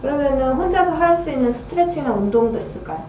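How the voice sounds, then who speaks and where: A woman's voice: high-pitched, expressive, very fast, warm tone, reverberant, a little hiss or hum. A woman who is also a patient, asking curious follow-up questions in a casual interview.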